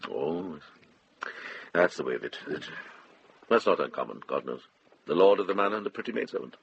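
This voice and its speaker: An actor playing a shrewd middle-aged monk investigator in a radio drama, speaking in a terse, probing, direct way with a husky voice. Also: male voice, deep voice, expressive, a little fast, muffled recording, slight background noise.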